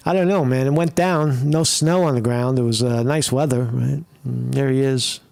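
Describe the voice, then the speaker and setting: A man's voice: mid-range voice, fairly even intonation, moderate pace, gravelly, a little mellow, dry recording. A man giving rambling, profane commentary while watching videos, full of fillers and restarts. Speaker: commentary streamer.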